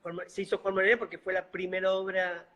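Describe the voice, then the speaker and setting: A middle-aged man from Buenos Aires with a soft Argentine accent that carries a Bolivian lilt, calmly telling stories as the guest of a video-call interview. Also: high-pitched. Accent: Argentine Spanish, slightly Bolivian-tinged. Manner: unhurried, gentle, fond of diminutives. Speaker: guest, mime and clown actor. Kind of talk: casual interview storytelling.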